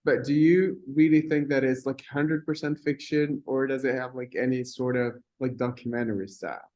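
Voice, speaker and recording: A man interviewing a guest for a film festival in an informal, halting way, searching for words. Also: medium pitch, steady tone, darkish tone.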